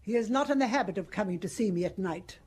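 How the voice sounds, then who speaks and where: Casting: an imperious older mother, husky and animated, protective to the bone. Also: mid-range voice, fast talker. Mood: defiant. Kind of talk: radio drama acting.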